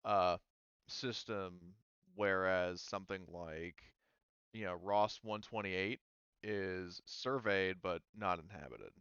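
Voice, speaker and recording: Male game master, low smooth voice, informal explainer, slightly dull dry close sound. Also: slow, fairly bright tone, dry recording. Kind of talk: casual tabletop roleplaying game talk.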